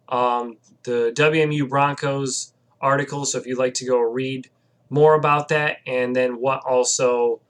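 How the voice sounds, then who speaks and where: A man's voice: medium pitch, a bit bright. A young man, just over a cold, giving casual video commentary on a new coach, rambling with fillers and self-corrections. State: recovering from a cold. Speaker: sports vlogger.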